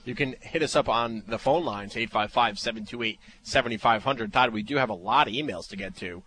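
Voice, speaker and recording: A man's voice: medium pitch, a little fast, pushed and bright, slight background noise. A man with a New Jersey accent co-hosting a sports radio show, joking and teasing his guests. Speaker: radio co-host. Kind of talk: casual sports radio banter.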